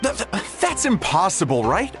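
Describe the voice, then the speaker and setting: Animated, disbelieving young bystander. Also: male voice, high voice, moderate pace, creaky, over music, exaggerated voice. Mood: incredulous. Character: incredulous young male bystander. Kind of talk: anime game voice acting.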